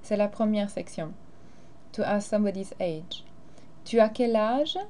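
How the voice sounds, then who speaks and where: A woman's voice: mid-range voice, a little slow, mellow. A teacher, a woman, explaining grammar and carefully modeling phrases in a recorded lesson. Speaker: French teacher.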